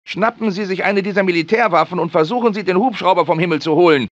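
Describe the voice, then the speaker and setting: A man's voice: quick pace, no room sound, natural voice. A man voicing a fussy, anxious research scientist for a German video game dub, with theatrical, precise delivery. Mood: earnest. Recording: dry, muffled low-fi game audio.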